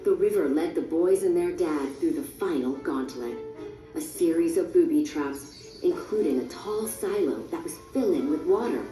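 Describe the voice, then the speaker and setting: Mellow woman narrating a storybook, roomy sound. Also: sits low, lively intonation, moderate pace. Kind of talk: scripted read-along storybook narration. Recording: roomy, distant, music underneath.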